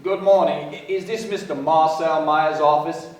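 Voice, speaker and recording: A man's voice: on the high side, fairly even intonation, moderate pace, distant mic, a little hiss or hum, lightly acted. Man acting a brash American businessman, very echoey. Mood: genial, introducing himself. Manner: folksy, garrulous, slangy. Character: brash, boastful American businessman from the Midwest, folksy, wealthy. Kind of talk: radio play acting. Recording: very echoey room, off mic.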